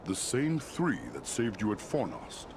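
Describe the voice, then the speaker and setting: An actor playing a stately, wise lord of giant eagles in a fantasy game, speaking with regal formality. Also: male voice, mid-range voice, very animated, a little slow, noisy background. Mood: grave, measured. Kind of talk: fantasy game cutscene acting.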